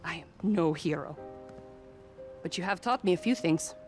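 A woman's voice: fairly low pitch, moderate pace, a little mellow, natural voice. An actress playing a stern, no-nonsense female mercenary commander in a video game, terse and formal. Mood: reserved. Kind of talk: video game cutscene acting. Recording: music behind the voice.

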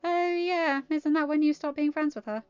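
A cheeky teenage princess in a story reading, voiced by a woman. She talks high, teasing and emphatic, quick to get indignant. The sound is a little dull. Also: fairly even intonation, darkish tone, no echo, soft background music, natural voice.

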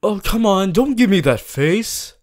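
A man playing an earnest, bossy, worried young student hero in an audio roleplay, talking to the listener in an insistent, halting, emphatic way. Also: high-pitched, expressive, darkish tone. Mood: pleading, insistent. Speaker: voice actor.

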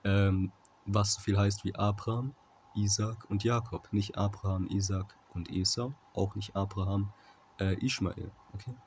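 A man giving an informal Bible commentary in a halting manner, often checking in with a short tag question, on a noisy amateur recording. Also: lowish voice, steady tone, darkish tone. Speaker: Bible teacher.

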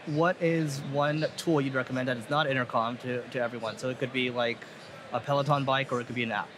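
Man hosting amid clear background noise. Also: medium pitch, steady tone, fast talker. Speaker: interview host. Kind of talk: casual conversational interview. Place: large indoor conference expo floor. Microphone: headset. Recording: noisy busy expo hall ambience.

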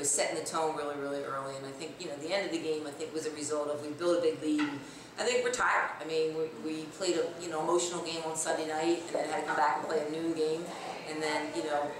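A woman's voice: deep voice, level tone, fast talker, crowd noise. A woman in her forties, a basketball coach, answering postgame interview questions. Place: indoor room with block and stone wall. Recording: very echoey, distant, noisy room.

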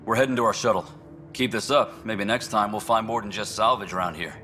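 A man's voice: lowish voice, brisk, sharp tone, slight background noise. A man playing a world-weary outpost scientist in a video game, blunt, informal and wry. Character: gruff, world-weary male outpost scientist giving practical advice. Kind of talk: scripted video game dialogue.

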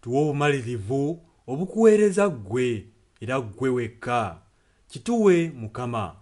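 A man's voice: mid-range voice, sing-song, moderate pace, a little mellow. A man interpreting a preacher's sermon and prayer phrase by phrase.

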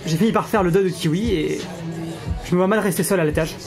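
A woman's voice: fairly low pitch, fast talker, lightly acted. A woman playing a lighthearted, self-justifying dog lover in casual audio drama dialogue. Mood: casual, joking. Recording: music behind the voice.

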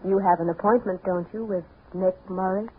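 An actress playing a calm, secretive femme fatale in a 1950s radio drama, measured and enigmatic. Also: female voice, mid-range voice, slight background noise, natural voice. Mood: evasive. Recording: tinny 1950s radio recording.

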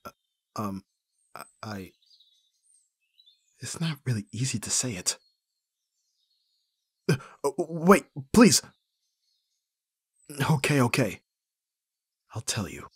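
Young male actor as flustered lovestruck best friend for ASMR roleplay: very husky, breathy, swinging pitch, halting. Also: very animated, fairly bright tone, no room sound, full character voice. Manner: hesitant, stammering, sighing delivery. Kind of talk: ASMR roleplay acting, intimate and conversational.

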